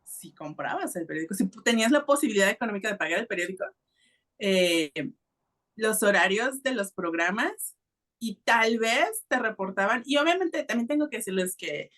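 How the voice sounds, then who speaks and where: A woman's voice: medium pitch, expressive, normal speed, fairly bright tone. A woman with a Mexican accent hosting a podcast, telling a story in a casual, colloquial and explanatory way. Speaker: podcast host.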